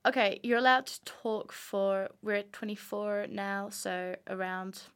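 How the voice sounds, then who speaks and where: A young woman from France, co-hosting a podcast in a relaxed, filler-heavy way. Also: mid-range voice, a little slow, clear, resonant tone. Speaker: podcast co-host. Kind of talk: casual chat.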